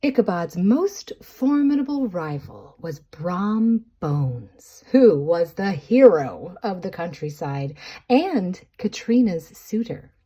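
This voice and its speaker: A woman narrating a storybook tale for a puppet show in a theatrical, expressive way, savoring the words. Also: mid-range voice, slightly rough.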